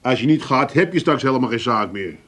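An actor playing a level-headed, wry pub friend with an Amsterdam accent in a comic radio drama. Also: male voice, medium pitch, expressive, brisk, fairly bright tone, lightly acted. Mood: coaxing. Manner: matter-of-fact, coaxing, dry. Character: calm, wry male pub regular, mediating friend.

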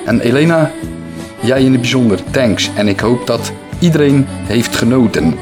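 A man's voice: medium pitch, animated, moderate pace. A man hosting a podcast, giving a reflective sign-off monologue. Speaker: podcast host. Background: music.

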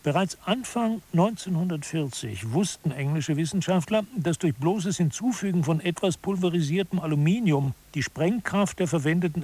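Higher-voiced, husky, disillusioned author. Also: male voice, a little mellow. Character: male author, disillusioned observer of human incompetence. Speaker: narrator. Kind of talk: scripted audio drama narration.

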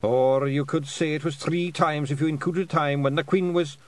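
A man voicing a small, earnest fairy bard with a Scottish accent, a little raised in pitch. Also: steady tone, moderate pace, natural voice. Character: tiny male fairy bard, earnest and pedantic.

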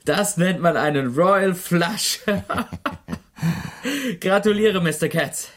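Slow, swinging-voiced wary client. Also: male voice, sits high, very animated, heavily acted. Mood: impressed. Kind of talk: German radio crime drama.

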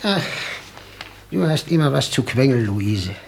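Henpecked husband, gravelly, chiding, weary, faint background noise. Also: male voice, mid-range voice, big pitch swings, unhurried. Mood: exasperated. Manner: chiding, exasperated.

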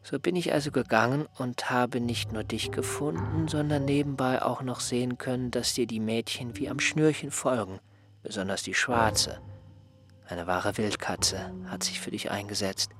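A man's voice: mid-range voice, normal speed, over music, lightly acted. An actor playing an aggrieved male assistant who is no longer young, complaining reproachfully in a radio play. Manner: reproachful, complaining, mildly mocking. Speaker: surveyor's assistant.

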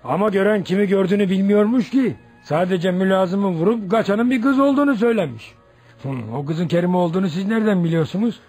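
A man's voice: high-pitched, fast talker, a little mellow, soft background music. A man playing a sociable, inquisitive village headman in a radio drama, full of folksy interjections. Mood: doubtful.

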